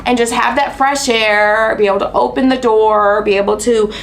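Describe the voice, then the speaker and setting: A woman's voice: fairly high pitch, normal speed, vocal fry, slight background noise. A woman hosting a casual craft stream, sounding stuffy and nasal from allergies, chatty with lots of fillers. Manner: chatty storyteller, lots of fillers and excited asides. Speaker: host of a craft channel. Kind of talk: casual chat on a live stream.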